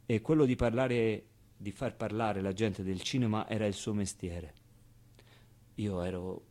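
A streetwise orphan boy, played by a man tells his story as a theatrical monologue in a mid-pitched voice. He is naive, wistful and crude, speaking expressively with halting repetitions and pauses, moving between hushed and excited delivery. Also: natural voice.

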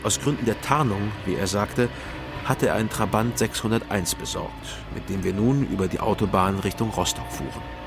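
An actor playing a sober male private detective, narrating his case in a measured, matter-of-fact way for a radio crime drama. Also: on the low side, lightly acted. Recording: music under the narration. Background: music.

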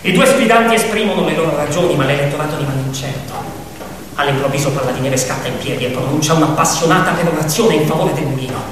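An actor playing a grave, dramatic male narrator of a farm fable, speaking in deliberate, emphatic phrases as in radio theatre. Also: fairly high pitch, fairly bright tone, slight background noise, natural voice. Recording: very echoey hall, distant, music. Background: music.

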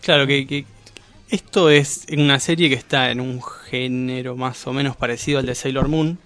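An Argentine man in a casual radio discussion, explaining things in a halting way, correcting himself and often checking that he is followed. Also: medium pitch, fairly even intonation, fairly bright tone. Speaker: guest discussing comics and manga.

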